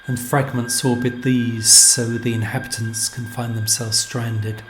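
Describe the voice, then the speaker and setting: A man reading scripted literary narration as a storyteller, in measured, deliberate phrases with pauses. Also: medium pitch, little pitch movement, rough recording. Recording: music under the voice. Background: music.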